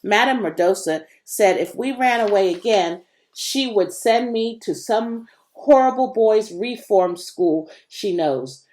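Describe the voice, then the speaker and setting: A woman's voice: medium pitch, expressive, slow, a little mellow. A woman voicing a laid-back, folksy, wisecracking boy in a children's storybook reading. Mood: weary, soft.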